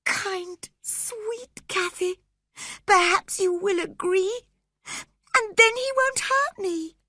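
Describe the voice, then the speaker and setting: A woman's voice: very high voice, slow pace, pushed and bright, dry recording, clean studio sound, pitched above the performer's own voice, exaggerated voice. A woman voicing a sickly, terrified teenage boy who sobs and pleads breathlessly. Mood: sobbing.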